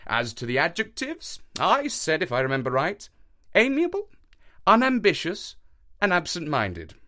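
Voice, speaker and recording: A man voicing a brisk, self-assured gentleman detective, speaking in an emphatic, theatrical way with a high, lively, swooping delivery. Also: full character voice. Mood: lecturing. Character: brisk, eager gentleman detective, sharp and sure.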